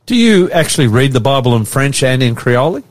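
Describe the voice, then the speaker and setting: A man's voice: mid-range voice, very animated, brisk. A man hosting an interview, asking simple, plain questions and stumbling a little at times. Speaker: interviewer, host.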